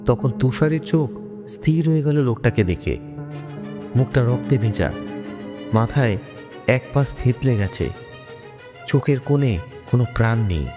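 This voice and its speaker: A man's voice: medium pitch, measured pace, long pauses. A man narrating a scripted horror story in a dramatic style. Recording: long reverb, music bed.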